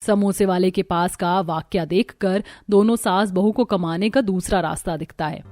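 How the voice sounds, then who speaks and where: A woman's voice: lowish voice, moderate pace, a little mellow. A woman delivering scripted narration for a Hindi moral story as its narrator. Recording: music behind the voice.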